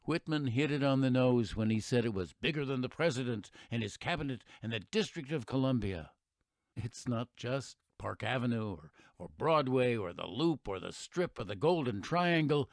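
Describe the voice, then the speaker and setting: A man with an American accent playing an earnest, reflective radio narrator, delivering a scripted patriotic monologue in a measured, oratorical way. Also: medium pitch, lively intonation, dry recording, studio quality, lightly acted. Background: music behind the voice.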